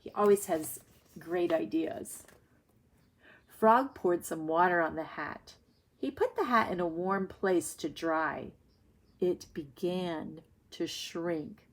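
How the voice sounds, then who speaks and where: A woman teacher reading aloud to young children and explaining her thinking in a deliberate way. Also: lowish voice. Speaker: primary school reading teacher.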